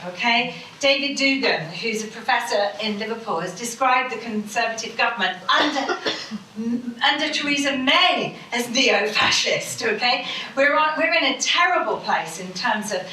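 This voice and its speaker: A woman's voice: fairly high pitch, lively intonation, normal speed, pushed and bright, slight background noise. A woman speaking on a panel, emphatic and rambling, swearing for emphasis. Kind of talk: impassioned public panel speech. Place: conference hall. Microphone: distant mic in a room. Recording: echoey hall from far off.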